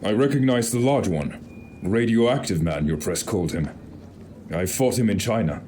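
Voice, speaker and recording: An actor playing a proud, stern thunder god with formal, archaic speech in a superhero audio drama. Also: male voice, on the low side, moderate pace, mellow, slight background noise, close to an everyday voice. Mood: stern, aloof.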